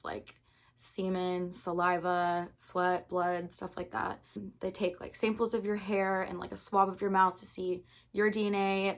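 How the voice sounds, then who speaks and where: A woman in her early twenties with an American accent, talking candidly and earnestly in an informal, conversational way, as in a personal video. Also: mid-range voice. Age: early 20s.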